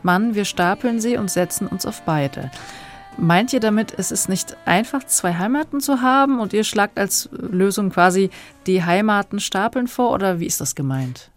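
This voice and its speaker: A woman's voice: mid-range voice, lively intonation, moderate pace, soft background music. A woman interviewer asking questions about lyrics she quotes back. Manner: inquiring, quoting lyrics back.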